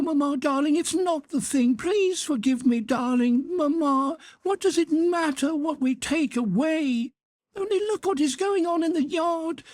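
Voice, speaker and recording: A man reading an audiobook, voicing a passionate young noblewoman with a shrill, pleading voice. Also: a little mellow, dry recording. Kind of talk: audiobook character voice.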